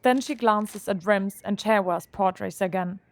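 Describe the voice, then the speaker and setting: A woman narrates an audio drama from a script. Her voice is medium in pitch, and the recording is dry, with no room sound. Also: measured pace, no room sound.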